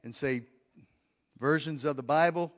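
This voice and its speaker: Male pastor, slightly high voice, earnest teaching tone, stumbles and repeats words, long hall reverb. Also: unhurried. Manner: earnest, repeats words while thinking, asks the congregation questions. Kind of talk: practical sermon teaching. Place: church sanctuary.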